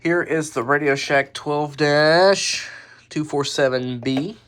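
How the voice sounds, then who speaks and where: A man presenting his hobby collection in a casual, unscripted way, halting and often correcting himself as he goes. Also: a little high, fairly bright tone. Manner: halting, offhand, self-correcting. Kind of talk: casual unscripted show-and-tell.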